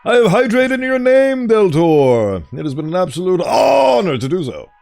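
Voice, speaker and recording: A man with a smooth voice streaming a game, chatting casually and playfully reading game text aloud in a mock-formal tone. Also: high voice, big pitch swings, normal speed, darkish tone, faint music behind.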